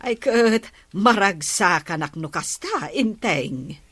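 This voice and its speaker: A woman's voice: mid-range voice, animated, takes it slowly, a bit bright, husky, full character voice. A woman with an Ilocano accent acting an approving, inquisitive village woman in radio drama dialogue. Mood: pleased.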